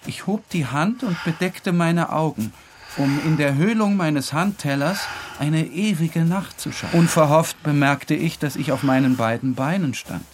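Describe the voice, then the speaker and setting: A man's voice: fairly high pitch, unhurried. Brooding male narrator over noisy ambience. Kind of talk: dramatic literary narration, first person. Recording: clear ambient background noise.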